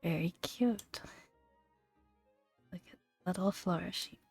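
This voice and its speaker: A woman speaks in a soft, breathy ASMR voice that often slips toward a whisper. She takes her time, pausing gently between phrases as she reads and adds small asides. The sound is close and very dry, with faint music underneath. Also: on the low side, no echo. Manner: gentle, unhurried, with long pauses between phrases. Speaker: gaming video host. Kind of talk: soft-spoken ASMR, reading game entries aloud with casual asides.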